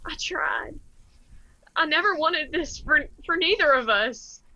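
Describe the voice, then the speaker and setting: A grieving young Appalachian woman in a radio drama. Her voice is high and bright, moving slowly and haltingly with gentle sorrow, in a slightly dull recording. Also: expressive, exaggerated voice. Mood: sorrowful. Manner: soft-hearted, sorrowful, halting.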